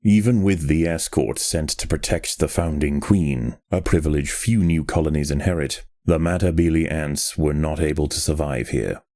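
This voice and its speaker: A man delivering scripted nature documentary style narration for a video game, in a measured, solemn and dramatic way. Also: a bass voice, warm tone, dry recording, studio quality.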